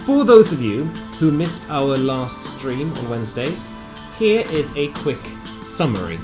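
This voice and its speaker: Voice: mid-range voice, very animated, a little slow. A narrator reading a scripted recap of a tabletop fantasy game in a theatrical storytelling manner with wry asides. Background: music.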